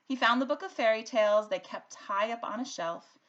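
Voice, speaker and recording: A woman reading a rhyming children's story aloud like a teacher, with an expressive, sing-song rhythm. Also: fairly high pitch, fairly quick, lo-fi sound. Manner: animated, sing-song rhythm following the rhyme.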